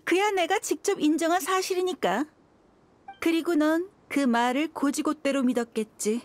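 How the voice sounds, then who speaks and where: A woman's voice: high-pitched, normal speed, close to an everyday voice. An actress playing a confident, sardonic young female mercenary in a video game, cool and taunting. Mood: smug taunting. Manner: cool, taunting, self-assured. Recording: music behind the voice.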